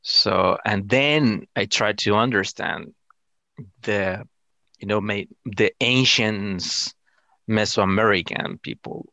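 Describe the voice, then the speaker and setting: A middle-aged man with a low, creaky voice and a Salvadoran Spanish accent. He answers interview questions casually in halting English, circling back over words as he goes. The sound is close and dry. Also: sharp tone, no echo. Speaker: interview guest, amateur astronomer.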